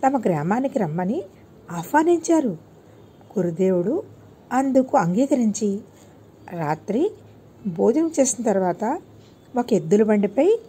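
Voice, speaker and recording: A woman's voice: medium pitch, dark tone, slightly rough. A woman narrating a scripted moral tale for children as an audiobook storyteller.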